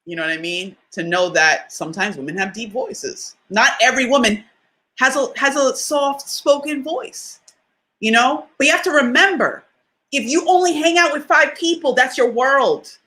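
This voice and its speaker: A woman with a deep voice giving a conversational motivational talk, stressing key lines by repeating them and often checking in with the listener. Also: lively intonation, a little slow, bright, forceful tone. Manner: emphatic, repeats key phrases for effect; conversational, often asks "you know what I mean?". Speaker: motivational speaker.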